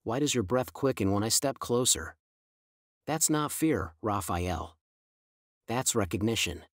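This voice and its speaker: A man voicing a menacing young male hitman who speaks in a low, taunting, insinuating way. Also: lively intonation, a little slow, no room sound, studio quality.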